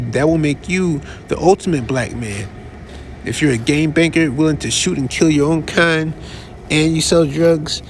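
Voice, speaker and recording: A man hosting a podcast, giving casual commentary in a conversational, halting way with restarts and asides. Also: a little high, a little hiss or hum. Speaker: podcast host.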